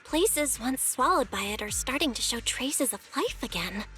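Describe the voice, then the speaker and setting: Kind, observant young anime heroine: composed but melodic, pitched high. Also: female voice, expressive, normal speed, pushed and bright, soft background music. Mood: thoughtful. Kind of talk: anime-style video game voice acting.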